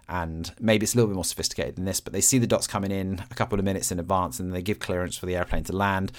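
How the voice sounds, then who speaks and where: A man hosting a podcast, talking casually and explaining things in simple, everyday terms. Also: deep voice. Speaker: podcast host.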